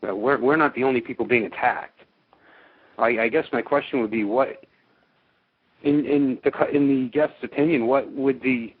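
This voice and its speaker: A man with a mid-pitched voice calls in to a talk show on a tinny, narrowband line. He speaks conversationally and earnestly, pausing and rephrasing as he reasons toward his question. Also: breathy edge. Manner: hesitant, reasoning step by step. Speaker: caller to an interview show. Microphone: telephone. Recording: thin, narrowband phone line.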